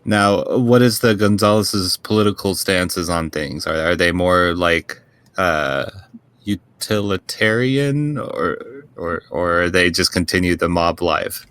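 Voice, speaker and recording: A man's voice: deep voice, a little slow, fairly bright tone, clean tone. A man chatting casually as a player in a tabletop game discussion. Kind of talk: casual chat.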